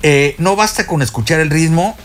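A man's voice: fairly high pitch, normal speed, fairly bright tone, a little hiss or hum. An older man with a Mexican accent, chatting as a music expert on a radio show, telling stories with many fillers and restarts. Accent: Mexican Spanish. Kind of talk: casual radio chat. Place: radio studio.

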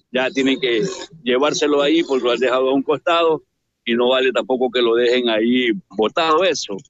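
A man reports live from a city street, talking in a loose, rambling way and calling out to his studio partner. His voice sits at a middle pitch. The sound is dry and slightly dull. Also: no room sound. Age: 60s.

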